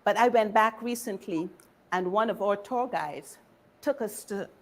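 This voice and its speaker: A woman from Barbados speaks as a history lecturer. Her voice sits at a medium pitch. She is deliberate and engaging, addressing her listeners directly in an informal talk.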